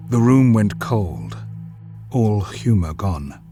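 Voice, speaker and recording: Deep male voice, dramatic scripted narration, grave, deliberate, faint music underneath. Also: expressive, warm tone.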